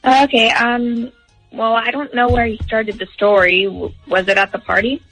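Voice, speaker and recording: A woman's voice: on the high side, little pitch movement, moderate pace, a bit bright, soft background music, rough recording. A young woman speaking as a phone guest on a radio show, telling things casually. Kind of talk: casual storytelling.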